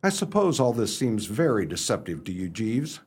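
An actor playing a flustered upper-class young English gentleman in a radio play, hesitant and bumbling, narrating his own scrapes in old-fashioned slang. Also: fairly low pitch, very animated, normal speed, breathy edge. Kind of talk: radio play storytelling and dialogue.

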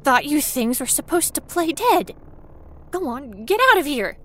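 A woman's voice: high-pitched, lively intonation, a little slow, a bit bright. A woman playing a nervous, wry paranormal investigator in an acted first-person horror audio drama, talking in a conversational, self-aware way. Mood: panicked. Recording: noisy ambient sound bed behind voice.

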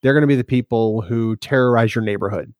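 A man's voice: medium pitch, fast, no echo. A man co-hosting a podcast in casual banter, teasing and sarcastic, often laughing. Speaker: podcast co-host. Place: at a convention.

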